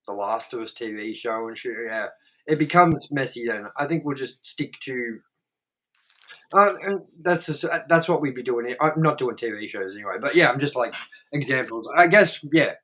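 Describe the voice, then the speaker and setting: A man's voice: medium pitch, moderate pace, pushed and bright, poor audio. A man co-hosting a podcast, talking in a rambling, casual way, full of fillers and swearing. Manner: rambling, filler-heavy, frequent "like" and "you know what I mean". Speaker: podcast co-host. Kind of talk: casual chat, swearing freely.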